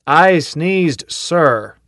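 A man reading an audiobook, voicing a loud, tough male drill sergeant who bellows orders and insults. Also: fairly high pitch, animated, very slow, no echo, studio quality, voice pushed up, full character voice. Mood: barking.

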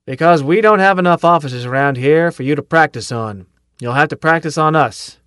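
A man reading an audiobook, voicing a loud, tough male drill sergeant who bellows orders and insults. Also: on the high side, normal speed, clear, resonant tone, dry recording, studio quality, pitched above the performer's own voice, lightly acted. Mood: barking.

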